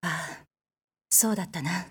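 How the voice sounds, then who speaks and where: A woman playing a haughty, quick-tempered warrior goddess in an audio drama, with blunt, commanding, masculine phrasing. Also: fairly low pitch, fairly bright tone, husky. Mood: grim. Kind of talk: anime-like audio drama acting with narration.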